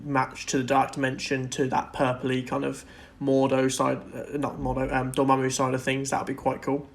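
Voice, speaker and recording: A man's voice: mid-range voice, completely flat delivery, moderate pace. Enthusiastic male podcast co-host. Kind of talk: casual film and TV discussion.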